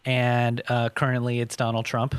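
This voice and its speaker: An American man co-hosting a podcast, laying out political points in a measured, explanatory, conversational way. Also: mid-range voice, completely flat delivery, smooth. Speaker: podcast co-host. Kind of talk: casual political panel discussion.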